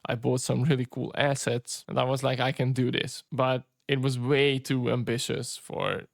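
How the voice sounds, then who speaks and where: A man in his thirties, a native Hungarian speaker, chatting casually in a relaxed way as he narrates his own game projects over gameplay. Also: medium pitch, normal speed, gravelly, dry recording, clean studio sound. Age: 30s. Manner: relaxed and chatty, peppers speech with "right?" and "you know". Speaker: game developer and channel host.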